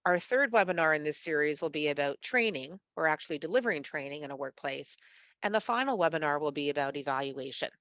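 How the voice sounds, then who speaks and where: A woman with a nasal voice from a cold, hosting a webinar in a measured, read-aloud manner over a video call. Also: sits low, lively intonation, a bit bright, no room sound, highs cut off. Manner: measured, read-aloud delivery with occasional fillers. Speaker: webinar host, executive director of a literacy network. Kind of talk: scripted webinar presentation.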